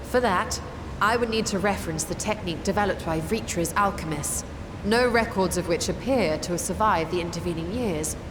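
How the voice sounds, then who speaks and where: An actress playing a self-assured, scholarly sorceress in a fantasy game, explaining in a precise, composed and formal way. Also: female voice, fairly low pitch, moderate pace, noisy background, natural voice. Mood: composed, lecturing.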